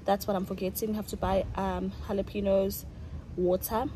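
A woman's voice: mid-range voice, flat delivery, normal speed, dark tone, slight background noise, rough recording. A young woman, chatting casually to a phone camera as a vlogger while running errands, laughing often. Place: car and shops, out and about.

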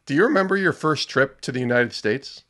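A middle-aged man hosting a podcast, recording in a home studio with headphones on. He talks at an ordinary, mid-level pitch and keeps things conversational. He often checks in with "right?" and "correct?" as he asks his questions. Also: expressive, a little fast, a bit bright, slight background noise. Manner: friendly, prompting questions with "yeah" and tag questions. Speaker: podcast host. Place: indoors, home studio with posters behind. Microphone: headset or desk mic on a video call, headphones on.

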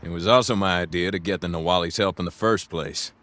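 An actor playing a sincere young gang boss, loyal to friends, in a video game cutscene. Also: male voice, low-pitched, fast, fairly bright tone. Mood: apologetic, earnest.

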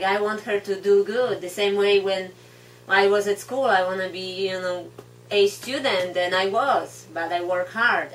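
A woman in her thirties with a Polish accent, reflecting casually in hesitant, halting non-native English. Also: mid-range voice, fairly bright tone. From Poland. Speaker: guide dog puppy raiser. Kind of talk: casual reflective talk to camera. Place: indoor room at home, seated on floor. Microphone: camera mic a few feet away. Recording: distant camera mic, hum and background noise.

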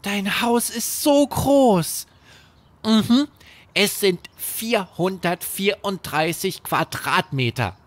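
A man's voice: high voice, slow, pitched above the performer's own voice. A man voicing young, earnest, slightly worried boys with childlike simplicity in a children's bedtime story.

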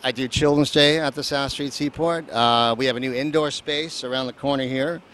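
A man's voice: medium pitch, fairly even intonation, normal speed, fairly bright tone. A man, a festival producer, rambling and joking through a casual street interview outdoors. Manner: rambling, joking, lots of fillers. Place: outdoors at a seaport. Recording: faint street noise and traffic.

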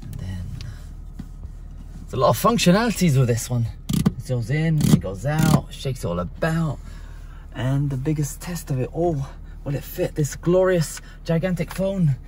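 A man's voice: a little high, a little slow. Playful man in a car. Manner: playful, jokey, excitable. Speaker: tech reviewer. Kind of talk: casual product review vlog. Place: inside a car. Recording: noisy car cabin.

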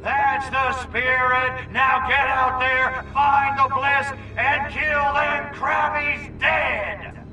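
An actor playing a cocky, wisecracking alien invader in a video game cutscene, sarcastic and blustering. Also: male voice, extremely high pitch, flat delivery, extremely slow, bright, forceful tone, distant mic, muffled recording, faint music behind, exaggerated voice. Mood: rallying.

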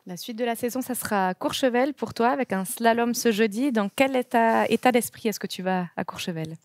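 Une jeune femme qui coanime une émission télé et enchaîne des questions rapides d'un ton vif. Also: female voice, a little high, no room sound. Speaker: co-host. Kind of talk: TV interview, quick-fire questions. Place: TV studio set.

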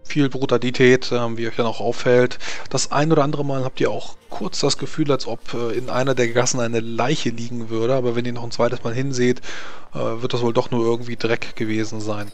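A man runs a tabletop game as its game master. He narrates off the cuff in a mid-pitched voice, pausing to find words, with faint noise behind him. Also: little pitch movement. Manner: hesitant, searching for words.

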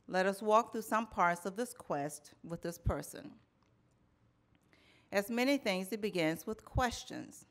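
A middle-aged woman delivering a keynote at a conference. She speaks from a lectern microphone in a measured storytelling manner, with a string of rhetorical questions and lists. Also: mid-range voice. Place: conference hall in front of a banner backdrop. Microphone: lectern microphone, medium framing.

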